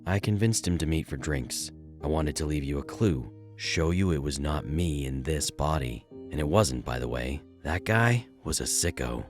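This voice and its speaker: Loyal male detective, acted by a man, extremely low voice, earnest and confiding, audio drama over clear music bed. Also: lively intonation, normal speed. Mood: earnest explaining.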